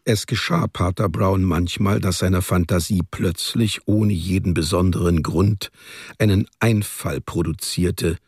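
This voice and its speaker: Deep, gravelly male narrator. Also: unhurried, dry recording, clean studio sound. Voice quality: gravelly, husky. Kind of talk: scripted literary narration for radio drama.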